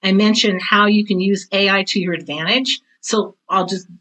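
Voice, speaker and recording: Woman, 60s, forward bright tone, emphatic and chatty, interview guest telling anecdotes. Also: mid-range voice, fairly even intonation, normal speed, rough recording. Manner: chatty, emphatic, often quotes herself and others. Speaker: guest, veteran theater teacher and author. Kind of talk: animated conversational interview, anecdotes.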